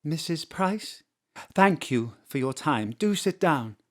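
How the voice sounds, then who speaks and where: An actress playing a brisk female city mayor who speaks formally and politely, then bluntly. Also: sits low, animated. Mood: businesslike. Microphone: home studio mic.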